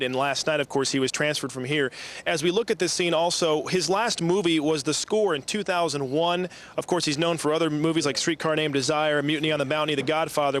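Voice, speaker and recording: A man's voice: a little high, fairly bright tone. An American man reporting live on location for television news, improvising and correcting himself as he goes. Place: outdoors on location.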